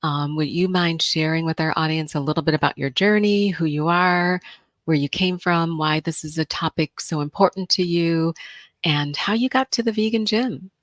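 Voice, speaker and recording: Woman, podcast host, upbeat, welcoming, lowish voice, dry sound. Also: moderate pace, no room sound. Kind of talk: live stream podcast hosting.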